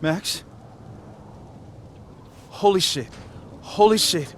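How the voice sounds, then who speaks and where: A man's voice: high voice, drawn-out words, fairly bright tone, a little hiss or hum, full character voice. A man playing a grieving, skeptical young man in a video game drama, with a guarded, wry delivery that can turn emphatic. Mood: stunned, excited.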